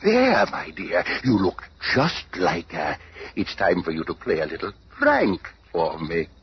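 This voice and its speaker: A menacing, theatrical villainous puppet master. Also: male voice, sits low, wildly swooping pitch, unhurried, exaggerated voice. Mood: sly. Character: megalomaniac villainous puppet master, middle-aged stepfather, scheming and domineering. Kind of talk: radio drama acting. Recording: noisy, muffled old radio broadcast.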